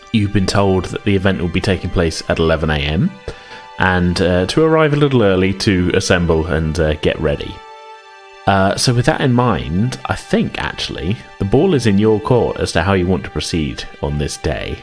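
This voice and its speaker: Guy game mastering a tabletop rpg, low voice, chatty, bit of music behind. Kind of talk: narrating a tabletop roleplaying game, casual. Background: background music.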